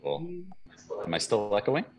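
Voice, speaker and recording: A young man chatting casually before a webinar on a video call from a lecture hall, relaxed and chatty with many fillers. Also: medium pitch, a little slow, background noise. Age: young adult. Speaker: conference speaker. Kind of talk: casual pre-webinar chat. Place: front of a university lecture hall.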